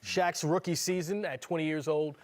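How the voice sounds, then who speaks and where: A man's voice: on the high side, steady tone, moderate pace. A man on a sports panel, bantering and discussing players in casual studio talk.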